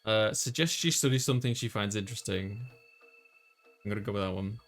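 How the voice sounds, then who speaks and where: A man's voice: on the low side, brisk, a bit bright, faint music behind. A man hosting a let's play, chatting casually and reading a visual novel's lines aloud. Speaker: host, gamer reading player character lines.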